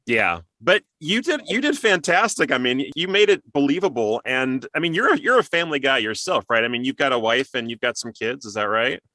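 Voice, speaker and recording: A man's voice: mid-range voice, very animated, brisk, few pauses, pushed and bright, no room sound. A man hosting an interview in a casual, conversational style. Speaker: interview host.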